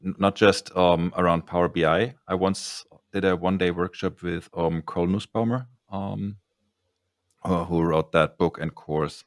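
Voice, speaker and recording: Guy hosting a podcast, really low voice, dry sound, rambles a bit. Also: no room sound. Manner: rambling, with many ums and restarts. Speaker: podcast host. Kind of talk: casual interview chat.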